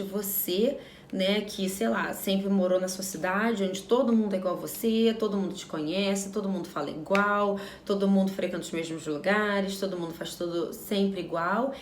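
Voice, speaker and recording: A woman's voice: mid-range voice, a little mellow, slight background noise. Frank Brazilian woman in a roomy space. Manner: frank, opinionated, repeats phrases for emphasis. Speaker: vlogger. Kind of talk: casual talk to camera.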